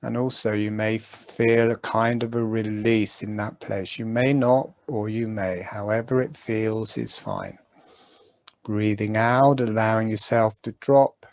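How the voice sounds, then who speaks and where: A man guiding a breathing meditation, giving calm, gentle instructions with long pauses. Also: lowish voice, no room sound. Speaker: meditation guide.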